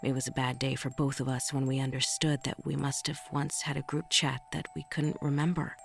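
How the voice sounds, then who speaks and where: A woman's voice: very deep voice, little pitch movement, brisk, gravelly, faint music behind. A woman narrating a first-person horror audio drama as a frightened, jittery survivor. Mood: brooding.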